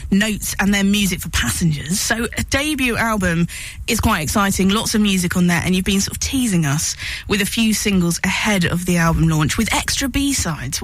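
A woman's voice: medium pitch, rough recording. A woman hosting a radio interview in a studio, speaking into a studio microphone. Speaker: radio host.

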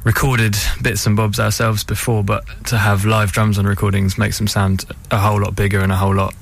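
A man's voice: fairly low pitch, flat delivery, fairly quick, creaky. A man in a band, chatting casually as a guest in a radio studio interview.

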